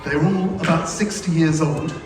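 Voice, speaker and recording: A man speaking live to an audience as puppeteer and presenter, gracious and slightly halting in his delivery. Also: fairly high pitch, very noisy. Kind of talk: live address to an audience after a show. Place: large indoor cultural hall before an audience. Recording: echoey hall, distant mic, crowd and music.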